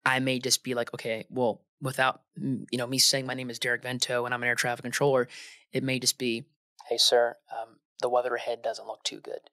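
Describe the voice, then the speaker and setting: A man's voice: medium pitch, brisk, no echo, clean studio sound. A man working as an air traffic controller talks informally in an interview, telling stories with slang and acting out bits of dialogue. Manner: animated, informal, uses slang and quoted dialogue. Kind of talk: casual conversational storytelling, acting out radio exchanges.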